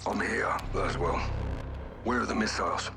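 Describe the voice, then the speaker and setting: An actor playing a blunt veteran army captain in a video game cutscene, giving terse military orders over a music score. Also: male voice, lowish voice, normal speed, creaky. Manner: terse military radio talk. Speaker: squad captain.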